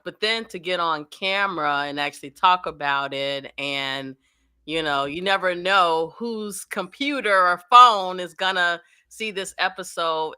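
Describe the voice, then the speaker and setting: A woman hosting an interview show, speaking in a deliberate, encouraging way to her guest. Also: fairly low pitch, pushed and bright, clean tone.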